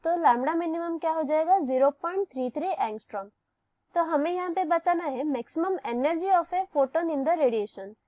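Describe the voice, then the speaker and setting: Indian lady teacher, high voice, slow step by step explaining, dry recording. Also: mellow, no room sound. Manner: methodical, poses questions and answers them. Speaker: physics teacher. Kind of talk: step-by-step lesson, explaining a worked problem.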